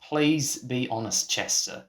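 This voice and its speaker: A man, speaking in a formal, measured, dry way as he presents a scripted interview. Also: mid-range voice. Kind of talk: scripted interview in a radio play.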